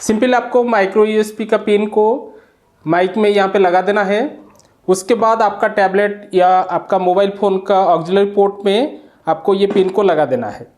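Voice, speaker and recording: A man's voice: high-pitched, normal speed, a little mellow. A man giving a product demonstration and review, explaining plainly step by step.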